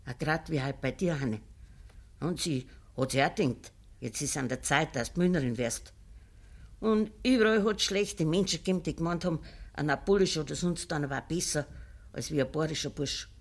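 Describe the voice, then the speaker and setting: Creaky old Bavarian grandmother. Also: deep voice, full character voice. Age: elderly. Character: old peasant grandmother, blunt, morally firm storyteller. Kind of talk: 1950s dialect radio drama acting.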